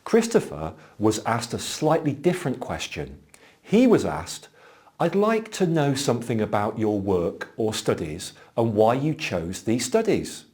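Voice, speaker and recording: A man giving clear, explanatory scripted narration as an exam commentator. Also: mid-range voice, big pitch swings, unhurried, darkish tone.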